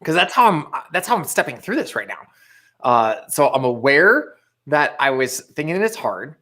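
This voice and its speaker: A man's voice: on the high side, big pitch swings, normal speed, pushed and bright. A young man co-hosting a live motivational stream, talking casually and eagerly reading viewers' chat messages aloud. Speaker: co-host of live motivational show.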